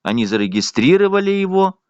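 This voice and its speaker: A man's voice: sits high, very animated, brisk, a little mellow, clean tone, dry recording, lo-fi sound. A man with a voice, complaining at length in a casual, rambling video monologue full of fillers. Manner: indignant, rambling, with frequent fillers. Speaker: vlogger.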